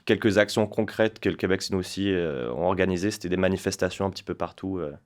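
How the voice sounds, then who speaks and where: A man's voice: low voice, fast talker. A man in his twenties from France, a guest spokesperson talking casually in an interview, with lots of hesitations and restarts. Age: 20s. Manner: hesitant, many fillers and restarts.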